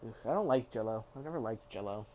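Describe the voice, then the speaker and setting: A man's voice: on the low side, lively intonation, fast, warm tone, slight background noise, low-quality recording. A young man streaming a game, chatting casually, swearing and mocking himself, now and then singing along. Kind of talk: casual gameplay chat.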